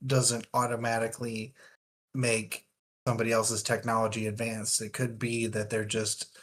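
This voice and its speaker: Man, lowish pitch, flat intonation, thoughtful and discursive, casual book discussion. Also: moderate pace.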